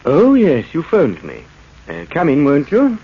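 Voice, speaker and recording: A man's voice: fairly high pitch, wildly swooping pitch, a little slow, heavily acted. A man playing an earnest, loyal inventor's protégé in 1940s radio drama, polite and hesitant. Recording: dull, noisy old radio broadcast.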